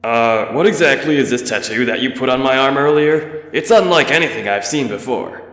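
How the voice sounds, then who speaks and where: A man's voice: mid-range voice, moderate pace, a bit bright, pitched above the performer's own voice, natural voice. A man voicing a shaken human fighter who talks in a nervous, apologetic way. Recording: reverberant roomy echo.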